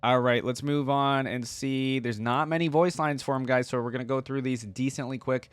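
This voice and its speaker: A man's voice: mid-range voice, steady tone, normal speed, clear, resonant tone, no room sound. A man giving casual, musing reaction commentary as the host of a video.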